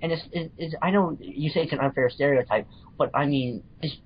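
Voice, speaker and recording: A man's voice: a little high, a little fast, husky. A man from Missouri on a podcast panel, earnestly explaining his view in casual fan discussion and often repeating himself. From Missouri, United States. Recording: dull, lo-fi voice call audio.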